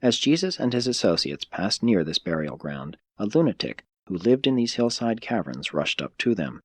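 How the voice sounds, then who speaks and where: A man reading a religious audiobook as scripted narration, in a measured, solemn storytelling manner. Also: fairly low pitch, very animated, slightly rough, no room sound.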